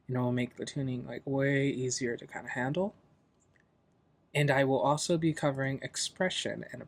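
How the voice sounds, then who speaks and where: A man hosting a software tutorial in a casual, loosely explanatory, informal way. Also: mid-range voice. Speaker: tutorial host.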